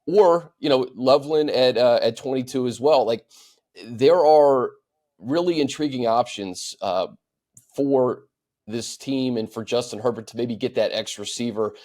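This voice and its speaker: A man hosting a sports show in a conversational style, halting and repeating words as he thinks. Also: mid-range voice, warm tone.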